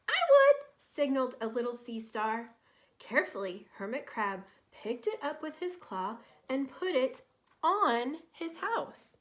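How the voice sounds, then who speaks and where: A woman reading a children's storybook aloud in an expressive, careful way, pausing to explain things to young listeners. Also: high-pitched. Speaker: teacher reading a picture book to children.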